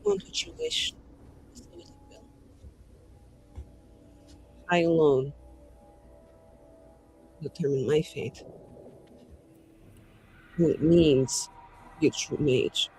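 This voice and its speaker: A man's voice: fairly high pitch, wildly swooping pitch, slow pace, pauses often, darkish tone, faint music behind, pitched below the performer's own voice, heavily acted, poor audio. A man voicing a proud, embittered male mage with a formal, sardonic manner in a tabletop roleplaying game. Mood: defiant, bitter. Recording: faint background noise.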